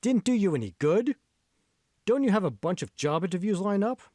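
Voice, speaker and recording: An earnest mentor played by a man lectures with emphatic, instructive force. His pitch moves in lively swings, in a close, dry audiobook recording. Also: brisk, no echo. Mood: earnest, encouraging.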